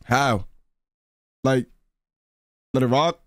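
A man's voice: mid-range voice, drawn-out words, fairly bright tone, no echo. A man streams a game and reacts as he goes. His talk is casual, slangy and full of swearing, and the sound is close and dry. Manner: slangy, profane.

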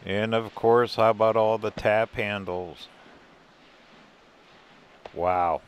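A man's voice: on the low side, steady tone, takes it slowly, smooth. An older man narrating a casual walk through a museum as a travel vlogger, easygoing and wry with chuckling asides. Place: indoor museum buildings.

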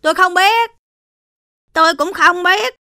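High-voiced woman as a blustering cartoon village chief. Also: a little slow, sharp tone, faint music behind, full character voice. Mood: defensive.

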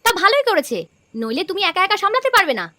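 A woman acts out a practical older mother-in-law from a village cartoon. The pitch is extremely high and animated, the tone sharp. The manner is caring and matter-of-fact, delivered quickly. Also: no room sound, exaggerated voice. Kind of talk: cartoon dubbing.

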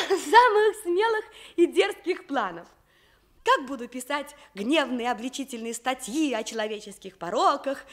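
Very high-voiced young woman journalist. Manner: expressive, theatrical storytelling. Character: eager young woman journalist narrating her own story. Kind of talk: Soviet radio drama narration.

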